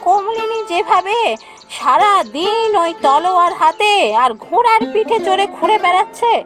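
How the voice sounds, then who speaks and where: Scheming fairytale queen: extremely high, animated delivery, insinuating and emphatic, music underneath. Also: moderate pace. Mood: insinuating, coaxing. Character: scheming, jealous younger queen and doting mother. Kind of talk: Bengali fairytale cartoon voice acting.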